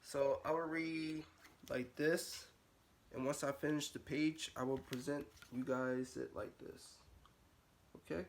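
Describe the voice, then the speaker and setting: A man's voice: fairly high pitch, steady tone, takes it slowly, slight background noise. A man reading a storybook aloud to young children as a nursery teacher, with a sing-song delivery that rises and falls.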